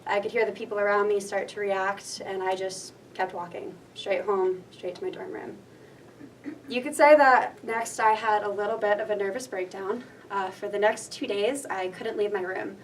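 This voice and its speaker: A woman's voice: medium pitch, steady tone, normal speed. A young woman from Rhode Island telling an earnest personal story on stage. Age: young adult. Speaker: student storyteller. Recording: distant mic with faint noise.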